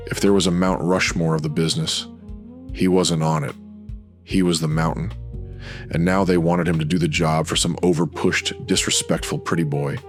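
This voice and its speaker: A man narrating a horror anthology in an ominous, measured pulp radio-drama style, in short dramatic phrases. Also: extremely low pitch. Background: music.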